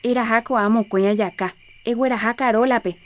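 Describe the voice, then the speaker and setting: A woman's voice: on the high side, steady tone, a little fast, natural voice. A woman playing a helpful villager organizing festival games in a radio soap opera, with a Paraguayan accent. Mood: busy, helpful.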